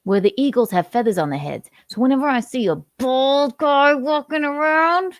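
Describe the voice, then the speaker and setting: A woman's voice: sits high, moderate pace, no echo, clean studio sound, voice pushed up, close to an everyday voice. A woman voicing a violent, unrepentant male hawk in his forties who boasts about attacking bald men. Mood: menacing. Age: 40s. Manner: gleeful, unrepentant, menacing boasts.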